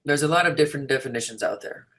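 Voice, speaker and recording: A man reading written interview answers aloud in a measured, articulate, declarative way. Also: mid-range voice, fairly bright tone. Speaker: reader of an interviewee's typed answers.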